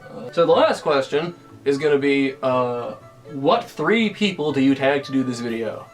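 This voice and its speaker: A man's voice: medium pitch, expressive, moderate pace, poor audio. Young man, late teens, casual vlog talk, rambling, restarts sentences, faint music behind. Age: young adult. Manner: rambling, full of restarts and fillers. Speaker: YouTuber.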